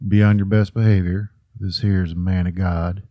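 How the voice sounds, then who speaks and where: An actor playing a brusque prison warden in a horror audio drama, speaking in a dry, procedural, authoritative way. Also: male voice, low-pitched, level tone, normal speed, no room sound, lo-fi sound, lightly acted. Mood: formal, curt.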